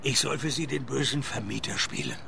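A man playing a world-weary private detective in a radio play, deadpan and laconic. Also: medium pitch, brisk, gravelly, a little hiss or hum. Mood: deadpan questioning. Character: world-weary, dry-witted male private detective narrating his cases. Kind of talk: radio play narration and dialogue.

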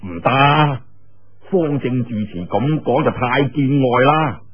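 Guy doing scheming cantonese kung fu boss, fake polite, swoopy husky voice, radio-ish sound. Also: mid-range voice, expressive, slow. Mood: polite insistence. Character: scheming, commanding male martial arts sect leader. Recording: muffled narrow-band radio-like sound.

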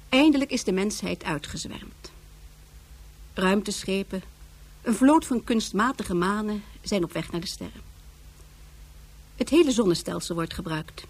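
A woman's voice: medium pitch, slightly rough. A woman narrating a science fiction radio play in a solemn, declamatory, scripted manner.

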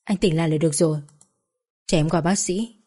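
A woman voicing a grieving young mother who talks softly and pleads close to tears. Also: low voice, fast talker, breathy edge. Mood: urgent. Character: grieving young mother at her husband's bedside.

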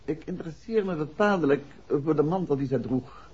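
An actor in a 1960s Dutch radio drama, playing a composed, courteous and astute gentleman detective who talks in polite, measured questions. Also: a little high, expressive, husky. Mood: politely probing. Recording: dull, noisy 1960s radio recording.

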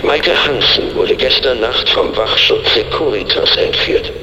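An actor playing a mysterious, commanding masked informant in an audio drama, speaking in a deliberate, stern and formal way. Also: male voice, medium pitch, natural voice. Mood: grave, explaining. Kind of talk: German audio drama acting. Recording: echoey reverberant space, some noise.

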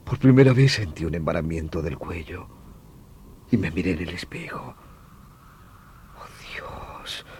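A tormented doctor in an old radio horror play, with a low voice that swings widely from murmurs to outcries. His delivery is halting and theatrical, on a noisy vintage recording. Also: lively intonation, a little mellow. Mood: horrified grief. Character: anguished doctor, brother who confesses killing his vampire wife.

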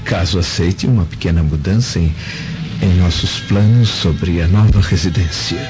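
An actor in a radio drama playing a proud, dignified Roman husband with a formal, measured manner. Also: male voice, low voice, natural voice. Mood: conciliatory. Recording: muffled radio drama, faint music.

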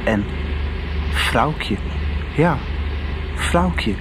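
An actor playing a reflective, doubting young man, narrating a radio soap in a measured way. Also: medium pitch, animated, noisy background. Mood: nostalgic. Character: reflective, doubting young man recalling his search for truth. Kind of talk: 1990s Dutch radio drama narration.

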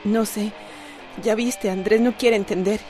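A woman's voice: mid-range voice, fairly even intonation, a little slow, mellow, soft background music, natural voice. An actress with a Costa Rican accent playing an anxious, tender mother in a radio drama. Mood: worried. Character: anxious, tender mother leaving a troubled marriage.

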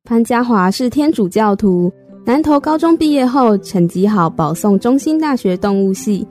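A woman narrating a scripted radio drama in a measured storytelling manner. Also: a little high, animated, a little mellow, faint music behind, lightly acted.

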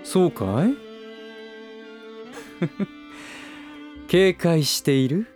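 A lilting, smooth-tongued schemer. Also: male voice, fairly high pitch, expressive, very slow, over music. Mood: teasing concern. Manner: polite, gentle phrasing with teasing condescension. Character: smooth, scheming adult male relative and businessman, teasing. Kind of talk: Japanese voice drama acting.

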